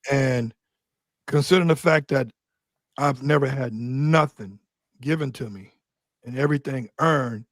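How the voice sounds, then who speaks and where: A man's voice: mid-range voice, unhurried, long pauses, dry recording, clean studio sound. A man guesting on an interview, telling stories loosely and bluntly with street slang. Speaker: interview guest, Doberman breeder. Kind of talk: casual conversational interview.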